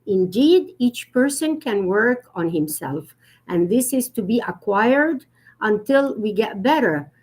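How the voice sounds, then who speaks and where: Woman etiquette guest, higher voice, earnest and explanatory, pauses with fillers. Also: lively intonation, a little mellow. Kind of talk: conversational live-stream talk.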